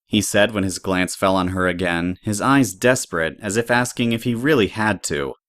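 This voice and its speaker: A woman's voice: extremely low pitch, moderate pace, no echo, clean studio sound. A woman narrator reading scripted story prose for an audio drama.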